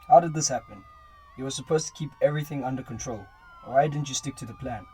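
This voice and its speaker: Young man as a mellow-voiced, irritable heist planner. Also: mid-range voice, fast, a little hiss or hum, close to an everyday voice. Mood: annoyed, urgent. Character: irritable, scheming male bank robbery planner. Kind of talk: amateur radio drama acting.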